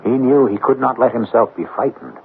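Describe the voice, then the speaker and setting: A man hosting and narrating a radio mystery in a deliberate, ominous, theatrical style. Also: medium pitch, steady tone, creaky, phone-quality sound, background noise. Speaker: host and narrator. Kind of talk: dramatic scripted narration, 1970s radio mystery. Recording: band-limited old radio broadcast.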